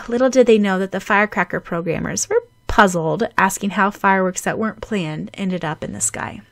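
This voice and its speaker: A woman narrating fan fiction as an audiobook, with a dramatic, animated delivery. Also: medium pitch, normal speed, creaky, dry recording.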